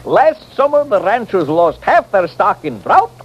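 Curious old west townsman, high voice, very up and down, old radio western. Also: sing-song, a little slow, exaggerated voice. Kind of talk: 1940s-50s radio western acting. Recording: dull, noisy old radio recording.

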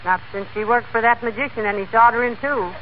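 An actress playing a cheerful housewife in a 1940s radio comedy, lively and quick to exclaim. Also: female voice, fairly high pitch, lightly acted. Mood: chatty. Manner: lively, exclaiming, prone to giggles. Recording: muffled old radio broadcast with hiss.

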